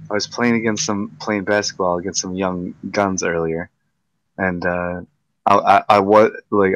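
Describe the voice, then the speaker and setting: Man in his thirties, low voice, dry close sound, laid-back and stammery. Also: fairly bright tone, no room sound, poor audio. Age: 30s. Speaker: livestream co-host. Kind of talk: casual chat.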